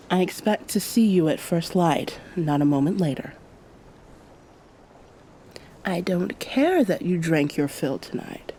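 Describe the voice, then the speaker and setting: A woman playing an affectionate, teasing yet commanding succubus pirate captain in an intimate ASMR roleplay, softly spoken and often near a whisper, with formal old-fashioned phrasing. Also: fairly low pitch, lively intonation, measured pace, slight background noise.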